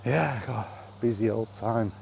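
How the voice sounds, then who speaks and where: Male gaming vlogger, low dark voice, unhurried and meandering, some outdoor background noise. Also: gravelly. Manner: hesitant, meandering, thinking aloud. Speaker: gaming content creator and podcaster. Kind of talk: casual rambling vlog chat.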